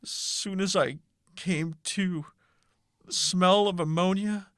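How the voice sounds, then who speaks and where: A woman voicing a grief-stricken, gentle father in an audiobook, speaking in a hushed, tearful, halting way. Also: mid-range voice, dry recording, studio quality, close to an everyday voice. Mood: grave, sorrowful. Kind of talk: audiobook character acting.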